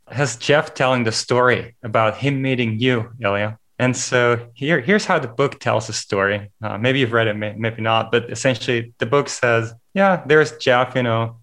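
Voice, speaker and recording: A man's voice: medium pitch, a bit bright. A man hosting a podcast, asking questions in an enthusiastic, chatty way and often repeating words as he starts a thought. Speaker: podcast host. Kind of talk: conversational interviewing.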